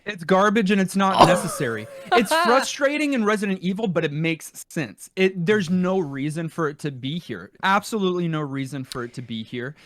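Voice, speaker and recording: A man with a fairly high voice chats casually as co-host of a game review podcast. He is recorded very dry, with no room sound around him. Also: lively intonation, moderate pace, few pauses, a bit bright, dry recording.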